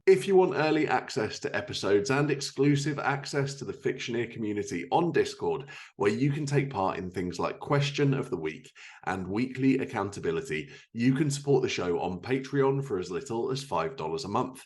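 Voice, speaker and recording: A man hosting a podcast, introducing the show and interviewing a guest. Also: lowish voice.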